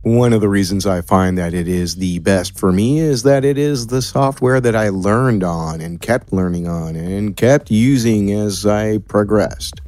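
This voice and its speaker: A man's voice: on the low side, a little slow, few pauses, a little mellow, no room sound, soft background music. An American man who works as an audio producer, giving explanatory answers as an interview guest. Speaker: audio producer and sound designer, podcast guest.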